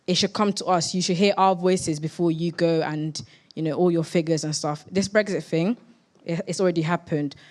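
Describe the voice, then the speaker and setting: A teenage student challenges a panel at a public debate, insistent and colloquial. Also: mid-range voice. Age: teens, final school year. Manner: insistent, colloquial, repeats for emphasis. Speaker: student audience member.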